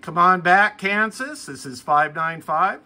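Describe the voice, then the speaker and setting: A man's voice: high voice, expressive, a little slow, very noisy. An American man giving casual how-to narration as a hobbyist video host, recorded in a room at home.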